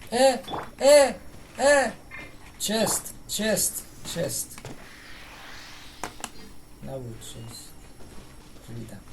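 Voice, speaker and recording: A man's voice: high voice, huge pitch swings, normal speed, long pauses. A man with an Iranian accent giving casual, playful gameplay commentary, muttering to himself as he plays. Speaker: game streamer. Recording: noisy, with game sound behind.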